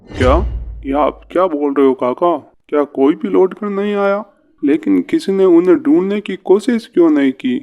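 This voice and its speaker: A man playing an earnest, brave and curious young village son in a cartoon fairy tale, with deliberate storybook delivery. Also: high-pitched, muffled recording. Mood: surprised, curious.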